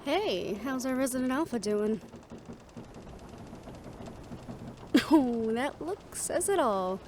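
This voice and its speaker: A woman playing a composed, protective fantasy adventurer in an audio roleplay, easygoing, teasing and soft-spoken, with a creaky voice. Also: high voice, animated, measured pace, slight background noise. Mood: wry.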